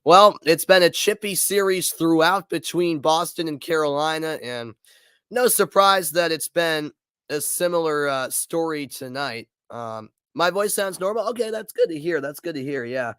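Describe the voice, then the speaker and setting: A man's voice: on the high side, lively intonation, moderate pace, fairly bright tone, clean tone, no echo. A man doing live play-by-play intermission commentary in a casual, chatty way, a bit congested with a sore throat. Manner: casual, chatty, frequent fillers and restarts.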